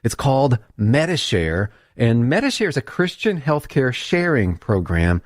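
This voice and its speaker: A man's voice: mid-range voice, sing-song, a little slow, no room sound. Brief: man reading a radio ad, friendly and persuasive, dry booth sound. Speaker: commercial announcer. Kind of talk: scripted ad read.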